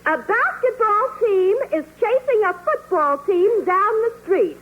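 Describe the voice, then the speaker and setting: Woman, very high pitch, deadpan naive quips, 1940s radio comedy, tinny sound. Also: measured pace. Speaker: comedy show regular. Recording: tinny old radio broadcast.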